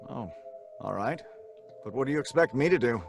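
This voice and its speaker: An actor playing a skeptical husband in a live audio drama, dismissive and wearily reassuring. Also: male voice, medium pitch, animated, moderate pace, vocal fry. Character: skeptical adult husband George, father. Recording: live stage recording with faint music.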